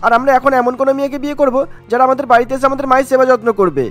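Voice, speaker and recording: A man's voice: very high voice, steady tone, racing, faint music behind. A man voicing an indignant, devoted son in a cartoon, sternly scolding. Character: indignant adult son, devoted to his mother.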